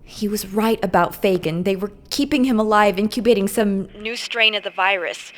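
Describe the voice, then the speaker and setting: A woman's voice: medium pitch, moderate pace, natural voice. An actress playing a defiant, weary woman agent on the run from captivity in a video game cutscene. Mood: urgent, tired.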